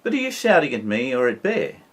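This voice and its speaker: A man's voice: huge pitch swings, fairly quick, a bit bright, husky, heavily acted. A man voicing a polite, timid moose. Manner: polite, higher pitched. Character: gentle, timid male moose.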